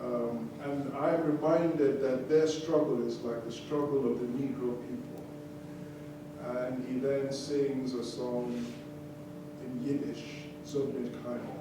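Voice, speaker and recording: Man, storyteller, halting conversational delivery, distant mic, very echoey hall, audible room noise. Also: mid-range voice, steady tone. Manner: conversational storyteller with frequent fillers and restarts. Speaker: performer telling a life story between songs. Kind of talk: storytelling talk to a live audience. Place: concert venue. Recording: distant echoey hall recording with audience ambience.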